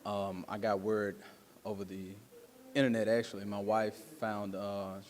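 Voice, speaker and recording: A man giving casual interview answers in a halting, earnest, conversational way. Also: lowish voice, little pitch movement. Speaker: stage actor being interviewed.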